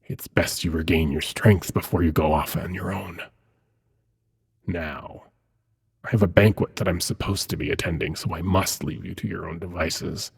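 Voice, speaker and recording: A man playing an ancient, seductive demon prince in an intimate ASMR roleplay, hushed and coaxing, with dramatic pauses and a husky, breathy voice. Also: deep voice, steady tone, exaggerated voice. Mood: calm, amused. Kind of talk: ASMR roleplay, intimate second-person monologue.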